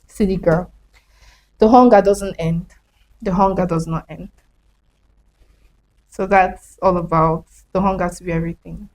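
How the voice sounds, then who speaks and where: A young woman reading her own reflective prose in a slow spoken-word style, then asking questions as host. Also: lowish voice, fairly even intonation, a little mellow, poor audio. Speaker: host and writer.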